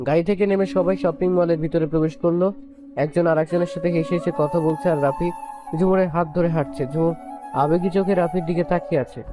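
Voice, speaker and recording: High-voiced male story narrator. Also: fairly even intonation, a little fast, over music. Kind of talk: scripted narration of romantic story.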